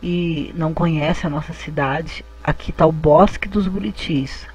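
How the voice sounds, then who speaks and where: A man's voice: a little high, measured pace, poor audio. A man, hosting his channel and narrating an event video as a voice-over. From Brazil. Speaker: channel host. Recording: faint music behind the voice.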